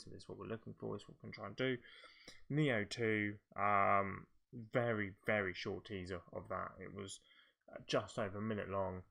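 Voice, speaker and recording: Guy chatting about games, lowish gravelly voice, kinda rambling and hesitant. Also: fairly bright tone. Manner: hesitant, rambling, thinking aloud. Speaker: video game show presenter. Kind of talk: casual games commentary.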